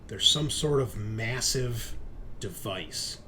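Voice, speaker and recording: Slow-talking man narrating a tabletop game. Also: on the low side, animated, bright, forceful tone, slight background noise. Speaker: game master.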